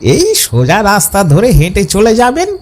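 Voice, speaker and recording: Man putting on a high, swooping village man's voice. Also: wildly swooping pitch, measured pace, exaggerated voice. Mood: wary, helpful. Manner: high, swooping, cautionary folksy delivery. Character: ordinary male villager warning a traveller away from a cremation ground. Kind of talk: cartoon ghost story character voice.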